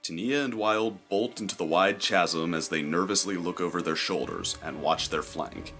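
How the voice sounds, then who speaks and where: A man narrating a scripted adventure story in a measured, descriptive, dramatic storytelling style. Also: sits low, sharp tone. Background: music.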